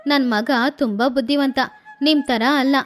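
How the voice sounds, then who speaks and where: A protective middle-aged mother in a cartoon, played by a woman, with a high voice that switches between coaxing and chiding. She talks in a warm, homely way. Quiet music runs under her lines. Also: normal speed, close to an everyday voice. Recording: faint background music.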